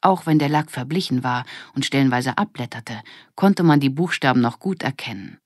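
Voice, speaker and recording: Low-voiced woman narrating a children's audiobook. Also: lively intonation, normal speed, no echo, studio quality. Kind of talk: scripted children's story narration.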